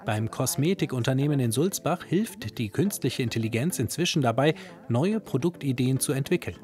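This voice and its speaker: A man's voice: mid-range voice, lively intonation, normal speed, a little mellow. A man narrating a scripted television news magazine report.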